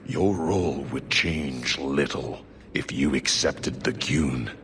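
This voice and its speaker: A huge, devout horned warrior mage from a fantasy video game. The voice is extremely deep and slow, with a bright, forceful edge. The phrasing is halting, stoic and terse. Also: male voice, a little hiss or hum, exaggerated voice. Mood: solemn, firm. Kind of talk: video game cutscene acting.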